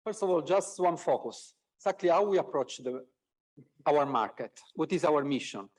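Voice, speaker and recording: Guy doing a business presentation, voice a bit high, mic sounds far off. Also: expressive, moderate pace, a little mellow. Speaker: company executive presenting a business unit. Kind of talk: business presentation on a conference call. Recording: distant mic, conference call audio.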